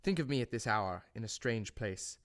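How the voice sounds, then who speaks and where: Audio drama role, male actor: anguished gentleman doctor, lowish voice, measured formal appeal, grave and pleading. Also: animated, lightly acted. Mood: grave, anxious. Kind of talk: audio drama letter monologue.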